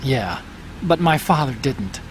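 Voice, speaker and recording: An actor playing an earnest, gentle, bookish tech expert in a video game, explaining things and hesitant about personal matters. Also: male voice, medium pitch, expressive, takes it slowly, a little hiss or hum, low-quality recording. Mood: guilty, rueful.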